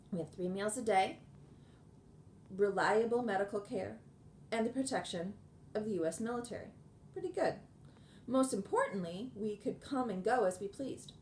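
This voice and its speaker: A woman's voice: mid-range voice, darkish tone, slight background noise. Woman reading a memoir in an echoey room. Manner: measured, earnest reading with occasional restarts. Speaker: audiobook reader.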